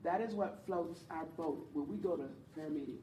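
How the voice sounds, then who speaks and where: A woman with a low voice teaches a group about leading worship, earnest and encouraging as she draws her listeners in. She is heard from across the room, the sound roomy and carrying a faint hum of ambience. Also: moderate pace, warm tone, recorded from a distance. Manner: earnest, encouraging, speaks to the audience and invites replies. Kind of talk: church teaching talk.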